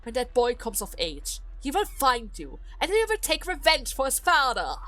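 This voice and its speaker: Woman voicing a fiery, high-pitched young noblewoman. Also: huge pitch swings, moderate pace, pushed and bright, no echo, exaggerated voice, low-quality recording. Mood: furious. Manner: heated, accusing, emphatic. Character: young noblewoman, defiant daughter seething with righteous anger. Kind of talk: dramatic game story voice acting.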